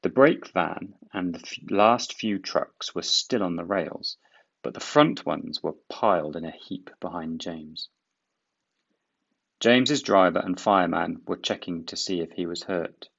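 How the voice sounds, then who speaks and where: A man reading a children's book aloud in expressive storybook narration. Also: deep voice, unhurried, creaky, lo-fi sound.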